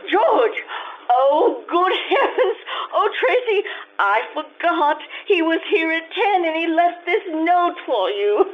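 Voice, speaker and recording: A woman's voice: extremely high pitch, sing-song, slow, narrow, tinny audio, full character voice. A woman playing a fluttery, anxious society mother in an old-time radio play. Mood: flustered.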